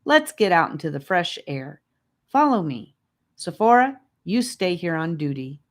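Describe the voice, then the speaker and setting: A helpful child guide from a storybook fantasy land, played by a woman, a little raised in pitch. The manner is kindly and assured, like someone showing newcomers the way. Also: sing-song, unhurried. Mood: sympathetic, directing.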